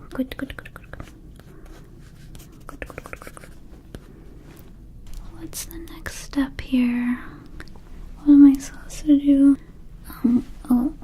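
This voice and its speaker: Friendly, scatterbrained female vampire shopkeeper acted by a woman, ASMR roleplay; soft mellow whisper, slow and hesitant. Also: sits high, husky, full character voice. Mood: scatterbrained, conspiratorial. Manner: slow, hesitant, casual, confiding. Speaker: ASMR performer.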